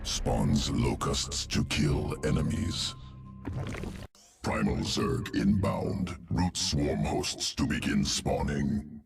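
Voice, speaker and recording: A man voicing a clinical, cold insectoid alien in a video game, with a deep gravelly creature voice and clipped, telegraphic speech. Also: takes it slowly, exaggerated voice. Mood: clinical, detached. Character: male alien insectoid evolution-master, clinical, terse, cold perfectionist. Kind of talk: sci-fi video game character acting. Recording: game music behind.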